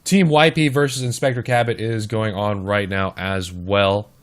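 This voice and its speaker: A slightly hoarse man with a lowish voice hosts a live esports stream. He casts matches and chats casually with the viewers, keeping a relaxed flow between updates. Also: normal speed. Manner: chatty, talks straight to the chat. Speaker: esports caster and stream host.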